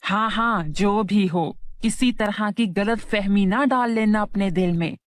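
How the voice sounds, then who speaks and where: A woman acts out a self-centred young man in a cartoon moral tale. The delivery is slow and blunt at a middling pitch, with a stubborn, dismissive streak. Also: no echo, lightly acted. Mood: irritated. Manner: blunt, deliberate. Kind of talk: children's moral story cartoon.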